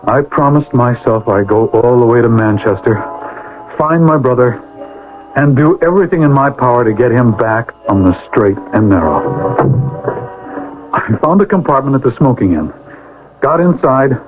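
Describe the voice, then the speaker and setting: An actor playing an earnest, righteous older brother, theatrical and formal in an old-time radio drama. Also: male voice, medium pitch, expressive, moderate pace, soft background music, natural voice. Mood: earnest, confessional. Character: earnest, righteous older brother, a pleading moralist, narrating his past. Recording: noisy, tinny vintage radio recording.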